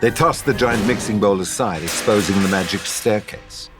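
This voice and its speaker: A man's voice: on the low side, moderate pace, a bit bright, soft background music. A man reading scripted narration and stage directions as the narrator of an audio drama.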